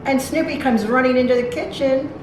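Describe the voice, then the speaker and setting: A woman reads a picture book aloud to small children in a fairly high voice. She stops to explain things simply, as a storytime reader does. The sound is very echoey, with noise behind her. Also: brisk. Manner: simple, explanatory, talking directly to young children. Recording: very echoey room, distant mic, noisy.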